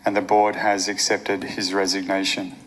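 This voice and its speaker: A man making a formal church announcement in a steady, official way. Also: sits low, normal speed, low-quality recording.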